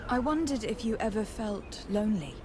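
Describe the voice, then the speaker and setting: A woman's voice: mid-range voice, very animated, normal speed, background noise, natural voice. A woman playing a poised, politely teasing young noblewoman diplomat in a video game. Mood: warm, wistful.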